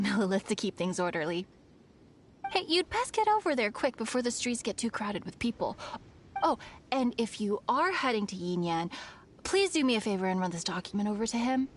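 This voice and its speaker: A woman's voice: medium pitch, a little hiss or hum, lightly acted. A woman voicing a polite, modest and helpful festival organiser in a video game. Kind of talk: video game character dialogue. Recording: music behind the voice.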